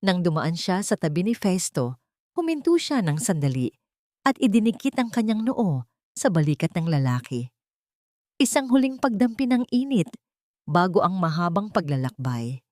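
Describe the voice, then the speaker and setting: A woman's voice: fairly low pitch, sing-song, moderate pace, darkish tone, no echo, studio quality. A woman reading a scripted dramatic story as an audiobook narrator.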